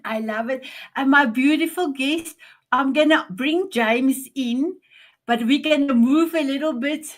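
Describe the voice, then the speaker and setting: A woman hosting an online summit, effusive and gushing as she introduces her guests. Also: sits high. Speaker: summit host.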